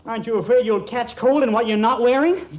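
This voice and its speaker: A man's voice: very high-pitched, quick pace. An actor playing a nervous, quippy young man forever flustered in a 1940s radio comedy. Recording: dull 1940s radio recording, music behind.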